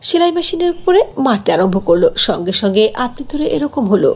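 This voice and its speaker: Female narrator for fairy tales: fairly high voice, very animated melody, scripted delivery. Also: fast, husky.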